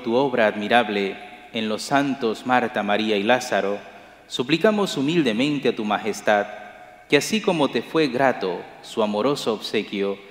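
Middle-aged priest saying mass, slow solemn prayers, big reverby church. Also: male voice, medium pitch. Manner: measured, solemn liturgical recitation. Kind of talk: celebrating Mass, liturgical prayers. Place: large church sanctuary, at the altar. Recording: echoey church with long reverb.

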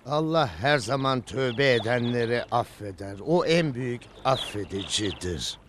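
A devout village man from a cartoon voiced by a man. His intonation swings widely around a medium pitch. Clear noise is heard behind him. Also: lively intonation, measured pace, fairly bright tone. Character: devout, wistful male villager. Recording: clearly noisy background.

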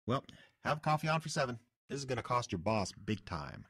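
A man's voice: mid-range voice, animated, a little fast, fairly bright tone, slightly rough. An actor playing an easygoing, wry, put-upon propane repairman, talking casually and colloquially. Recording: background noise, outdoor and vehicle ambience.

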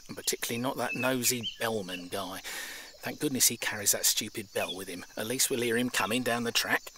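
A man's voice: medium pitch, a bit bright, a little hiss or hum, lightly acted. An actor playing a cynical, scheming British tradesman in an audio drama, blunt, dismissive and conspiratorial. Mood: brisk, wary. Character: cynical, scheming tradesman with a grudge against the countryside.

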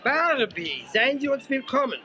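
A man's voice: very high voice, very animated, unhurried, sharp tone, faint music behind, heavily acted. A man playing a courteous, formal prince who hosts graciously in a children's audio drama. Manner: formal, ceremonious.

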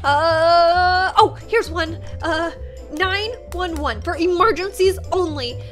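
A woman's voice: very high-pitched, slow pace, fairly bright tone. A young woman hosting a gaming video, acting out a roleplay expressively. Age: young adult. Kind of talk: dramatized game roleplay. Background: faint music.

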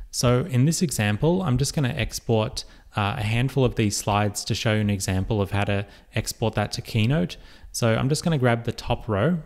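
A man narrating a screen-recorded software tutorial, giving relaxed step-by-step instructions with frequent "uh" fillers. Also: lowish voice.

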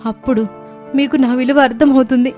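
A woman's voice: high-pitched, fairly even intonation, fairly quick, dark tone, natural voice. A woman voicing an outspoken, aggrieved sparrow wife in a cartoon moral story, speaking in a plaintive, reproachful way. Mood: defiant, bitter. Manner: plaintive, reproachful, long emotional speeches. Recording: music bed behind voice.

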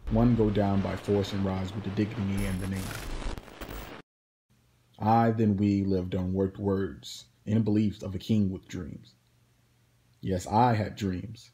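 A man delivering a spoken-word poetry monologue in a dramatic, deliberate manner with pauses between phrases. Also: fairly low pitch, dark tone, a little hiss or hum. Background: plucked guitar music.

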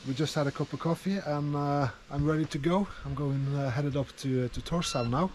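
A middle-aged man talking casually to his camera on a sailboat deck, relaxed and chatty with many fillers. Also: fairly high pitch, a little fast. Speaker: solo sailor filming himself. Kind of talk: casual vlog talk to camera. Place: on a sailboat deck outdoors at sea. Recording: loud wind and water noise.

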